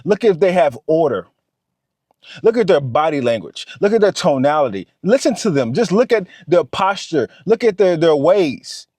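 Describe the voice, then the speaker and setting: Guy in his 30s hyping up the viewer, kinda high voice, dead dry sound. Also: moderate pace, no room sound. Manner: animated, repeats himself, talks straight to the viewer. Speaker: vlogger. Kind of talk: motivational talk to camera.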